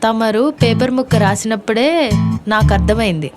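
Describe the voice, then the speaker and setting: A woman's voice: a little high, normal speed, loud music behind the voice, lightly acted. A woman playing a wry, teasing young college student in a comedy audio drama. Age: young adult.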